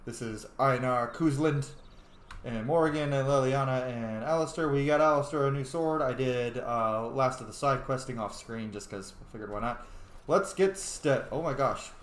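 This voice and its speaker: A man's voice: mid-range voice, moderate pace. A man hosting a let's play with casual, informal, exclamatory commentary. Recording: very echoey room, game music underneath. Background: loud game music.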